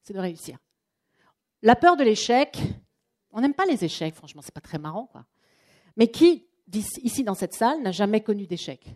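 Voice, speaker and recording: A woman runs a training workshop for a room of people. She talks in a chatty, lively way and acts out what her clients say. Her voice is mellow, and her pitch sits in the middle. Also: normal speed. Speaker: trainer and coach. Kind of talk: live workshop presentation.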